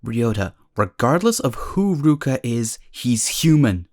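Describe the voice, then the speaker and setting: Slow, expressive scolding young friend played by a woman. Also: extremely low pitch, no room sound, clean studio sound, full character voice. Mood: reproachful. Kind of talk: audio drama acting.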